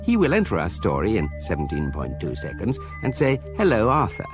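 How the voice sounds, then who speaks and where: Over prominent music, a wry British man narrating a galactic guidebook in a radio comedy. His delivery is measured and deadpan, yet swoops widely in pitch for each precise phrase. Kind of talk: scripted comic radio drama narration. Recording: radio production with music behind.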